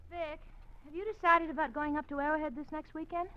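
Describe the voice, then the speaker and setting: An actress playing a trusting young wife in a 1940s radio drama, soft and pleading. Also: female voice, high-pitched, fairly even intonation, fast talker, highs cut off, slight background noise, close to an everyday voice.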